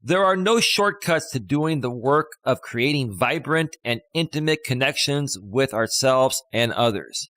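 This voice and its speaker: Man with a deep voice, very dry sound. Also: expressive, unhurried, few pauses, fairly bright tone, no room sound. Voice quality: deep bass voice. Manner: playful, reads passages aloud and riffs on them. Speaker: life coach and host. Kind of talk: casual live-stream chat reading a text. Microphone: studio dynamic microphone.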